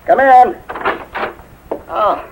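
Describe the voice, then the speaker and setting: A man's voice: high-pitched, extremely slow, phone-quality sound, slight background noise, full character voice. A man playing a kindly, reassuring family friend in a 1950s radio drama, easygoing in manner. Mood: easygoing, affectionate. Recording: vintage 1950s radio recording.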